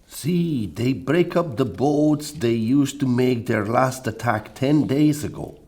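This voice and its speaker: A man playing a loyal, battle-hardened Spanish soldier in an audio play, speaking in a deliberate, dramatic way. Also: medium pitch, expressive, a little mellow. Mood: grim triumph. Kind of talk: audio play, declaimed dialogue.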